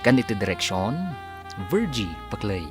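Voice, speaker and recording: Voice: sits low, big pitch swings, measured pace, music in the background. An announcer reading scripted radio drama credits in a formal, presentational manner.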